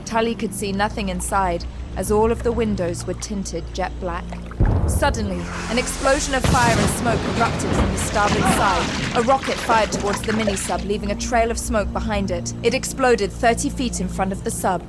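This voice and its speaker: A woman's voice: mid-range voice, moderate pace, natural voice. Actress as a suspenseful woman narrator over music. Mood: measured, suspenseful. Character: composed female narrator of a sea adventure. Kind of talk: scripted dramatic narration.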